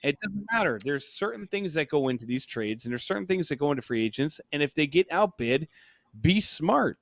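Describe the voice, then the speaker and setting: A man's voice: fairly high pitch, animated, brisk, gravelly, dry recording. A man co-hosting a hockey podcast, giving casual, opinionated sports talk and repeating himself for emphasis.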